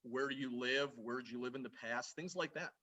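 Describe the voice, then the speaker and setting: A man leads a formal video interview in a polished, measured way. His tone is bright and forward, at a middle pitch. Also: highs cut off.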